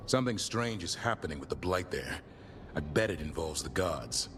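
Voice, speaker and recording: A man's voice: very deep voice, lively intonation, moderate pace, a bit bright, slight background noise, heavily acted. A man playing a serious, dutiful warrior ally in a fantasy game. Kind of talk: dramatic video game acting.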